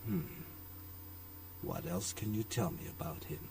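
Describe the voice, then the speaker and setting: A man's voice: low-pitched, very animated, vocal fry, dark tone, far from the mic, slight background noise, heavily acted. An actor voicing a gracious, formal older businessman, a courteous father figure in a video game. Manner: formal, courteous, measured wording.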